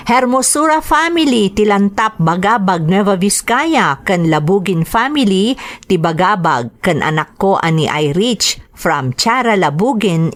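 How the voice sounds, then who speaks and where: A woman's voice: medium pitch, dry recording. A woman with a Filipino accent hosting a story program, reading scripted greetings and narration in an announcing style. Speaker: radio drama host reading greetings and letters.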